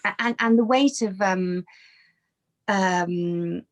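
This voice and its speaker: A woman's voice: medium pitch, slow pace. A woman in her thirties, a podcast guest on a webcam video call from her bedroom, talking casually with many restarts and fillers. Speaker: podcast guest, fitness trainer. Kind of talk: casual conversational interview. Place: bedroom with brick wall.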